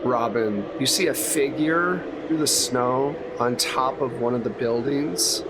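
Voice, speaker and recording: A man's voice: mid-range voice, unhurried, heavy background noise. An American man running a tabletop role-playing game as game master, narrating conversationally.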